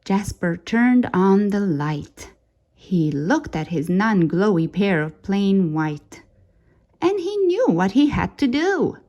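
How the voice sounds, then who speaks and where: A woman reading a children's story aloud in an expressive, dramatic way, drawing out key words for suspense. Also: medium pitch, slow pace, dull audio.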